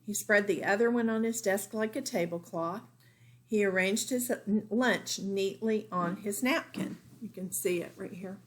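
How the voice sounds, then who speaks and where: A woman's voice: mid-range voice, a little slow. Woman reading a storybook aloud. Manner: expressive, pointing out pictures to listeners. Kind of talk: storybook read-aloud for young children.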